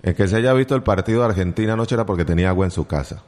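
A man's voice: deep voice, rapid-fire, a little mellow. A man with a Colombian accent hosting casual morning radio from a studio, chatty and joking with teasing asides. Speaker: radio host.

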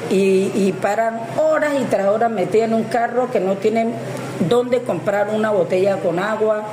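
A woman's voice: medium pitch, normal speed. A woman who works in local tourism, talking at length in a rambling news interview, often restarting her sentences. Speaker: tourism service provider. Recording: echoey with noise.

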